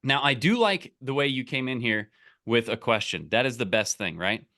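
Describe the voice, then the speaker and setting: Bright, punchy male business coach. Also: medium pitch, lively intonation, normal speed. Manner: emphatic, direct, salesy, often checking with "okay?" and "right?". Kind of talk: casual coaching, explaining and giving example scripts.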